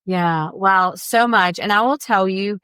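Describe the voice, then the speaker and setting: A woman's voice: mid-range voice, a little slow, pushed and bright, smooth, dry recording, studio quality. A woman hosting a podcast, effusive and enthusiastic as she introduces and talks with her guest. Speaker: podcast host. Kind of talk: podcast interview.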